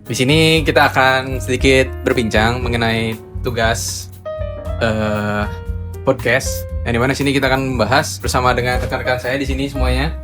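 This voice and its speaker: A young man hosts a laid-back podcast, upbeat and quick to chime in with agreement. His pitch is ordinary for a man, and guitar music plays clearly behind him. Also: level tone. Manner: upbeat, lots of agreeing fillers. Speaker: podcast host. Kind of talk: casual chat.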